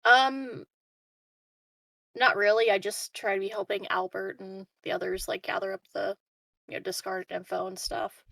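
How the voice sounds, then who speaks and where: A woman's voice: mid-range voice, fairly even intonation, moderate pace, creaky, a bit bright, no room sound, far from the mic, low-quality recording. A woman chatting casually as a player in a tabletop roleplaying game.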